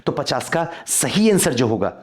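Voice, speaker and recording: A man's voice: mid-range voice, sing-song, moderate pace. A man teaching exam history in a quiz lesson, reading out questions and explaining answers, repeating key points for emphasis.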